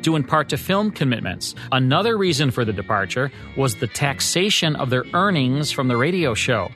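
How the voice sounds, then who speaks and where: A man's voice: mid-range voice, sing-song, moderate pace, fairly bright tone. Male American podcast host, mid-range voice, reading prepared narration with music behind him. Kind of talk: scripted narration.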